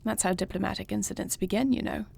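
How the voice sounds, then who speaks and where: A woman playing a gentle, poised dragon queen in an intimate audio roleplay, speaking to the listener with formal, courtly phrasing and soft affection. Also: mid-range voice, fairly even intonation, fast talker, gravelly, husky. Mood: poised, chiding. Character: gentle, poised dragon queen, newlywed, tender and dryly witty.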